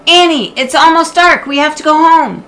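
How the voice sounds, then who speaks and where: A woman's voice: sits high, normal speed, bright, forceful tone, a little hiss or hum, voice pushed up. Woman as a cautious, earnest young boy. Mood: worried calling. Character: cautious, bookish young boy, worried and sensible.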